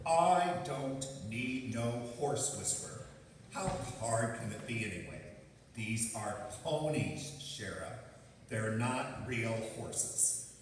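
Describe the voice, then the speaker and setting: A man's voice: mid-range voice, expressive, takes it slowly. A man playing a stubborn, cocksure small-town candidate in a live radio play. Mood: irritated. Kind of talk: live radio theatre acting. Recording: very echoey noisy hall, distant mic.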